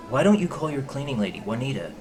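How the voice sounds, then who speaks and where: Man playing a steady, concerned confidant. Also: mid-range voice, fast talker, natural voice. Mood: worried, reassuring. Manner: reassuring, earnest. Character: concerned, steady male confidant, protective problem-solver. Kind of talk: audio drama dialogue.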